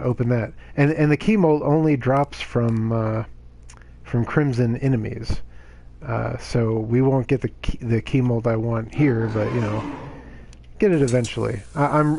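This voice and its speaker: A man streaming a game, voice a little raspy from reading aloud the day before, chatting casually with lots of "you know"s and "uh"s. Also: medium pitch, dark tone. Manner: chatty and self-justifying, lots of fillers. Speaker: gaming streamer. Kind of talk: casual gameplay commentary.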